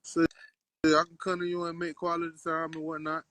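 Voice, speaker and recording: A man from South Carolina, flirting in a relaxed way over a video call. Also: a little high, a little fast, dry recording, studio quality. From South Carolina, USA. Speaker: live call-in guest. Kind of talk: flirty casual chat.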